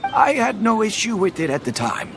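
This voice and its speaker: A man's voice: high voice, sing-song, gravelly, full character voice. A man voicing a weary old village man who sighs and complains about his neighbor. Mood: aggrieved. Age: older. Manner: sighing, slow and put-upon. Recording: game cutscene with loud background music.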